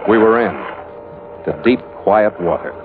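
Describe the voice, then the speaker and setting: A man's voice: lowish voice, unhurried, close to an everyday voice. Tinny old-radio American skipper played by a man. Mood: measured, vivid. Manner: clipped log-entry phrasing, hard-boiled. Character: tough, weathered ship's skipper narrating his log. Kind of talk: 1940s radio drama acting, first-person narration. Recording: tinny old radio recording, music behind.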